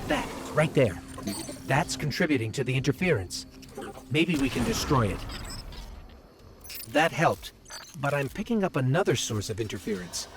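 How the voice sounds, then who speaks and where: Animated, earnest robot companion, voiced by a man. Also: mid-range voice, moderate pace, long pauses, background noise, natural voice. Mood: focused, determined. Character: small robot companion, earnest, watchful, chatty. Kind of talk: video game companion dialogue.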